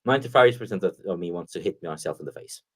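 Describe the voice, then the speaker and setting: Halting Swedish man, lowish voice. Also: expressive, breathy edge. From Sweden. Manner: halting, with frequent restarts. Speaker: guest, streamer. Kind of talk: casual live-stream chat.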